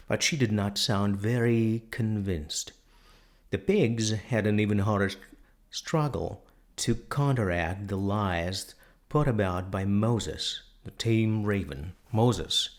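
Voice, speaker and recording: A man reading a novel aloud as a storyteller, with measured, theatrical phrasing. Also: fairly low pitch. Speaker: audiobook narrator. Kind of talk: dramatic scripted narration.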